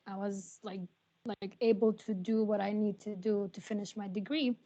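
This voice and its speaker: Woman, first language Arabic, halting, earnest, flat tone, video call. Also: mid-range voice, lo-fi sound. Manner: halting, many ums, soft and earnest. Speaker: nursing graduate. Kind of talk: personal story on a video call.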